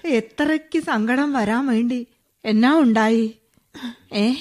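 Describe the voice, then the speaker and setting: Casting: an old Kerala widow for radio drama, high-pitched and halting, nostalgic, motherly with a firm edge. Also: a little mellow. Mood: probing, concerned. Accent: Kerala Malayalam.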